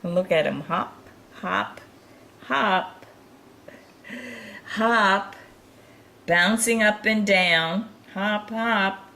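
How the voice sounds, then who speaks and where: A woman's voice: medium pitch, drawn-out words, creaky, long pauses, bright, forceful tone. A woman reading a picture book aloud to young children, with chatty, encouraging asides and chuckles over the pictures. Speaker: children's storytime reader.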